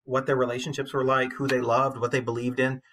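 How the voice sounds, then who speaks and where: A man's voice: mid-range voice, level tone, fairly quick, fairly bright tone. A man in his forties, an English teacher, giving an informal literature lecture in an earnest, conversational, emphatic way.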